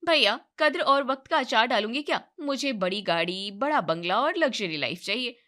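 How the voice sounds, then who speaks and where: Woman voicing a sharp, greedy young daughter. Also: a little high, lively intonation, normal speed. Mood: demanding. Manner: blunt, sarcastic, demanding. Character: sharp, greedy, money-minded young daughter, scornful and insistent. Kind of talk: moral-story cartoon voice acting.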